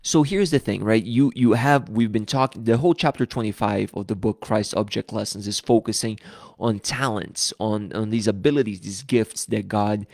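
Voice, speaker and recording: A man from Brazil speaks with a Brazilian accent in a low voice with some gravelly fry, chatting openly to his live viewers and often restarting his sentences. The sound is dry and close, with almost no room around it. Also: normal speed, darkish tone, no room sound. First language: Portuguese. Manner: open and conversational, with frequent restarts. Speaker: host of a live book-reading series. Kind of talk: casual live-stream talk.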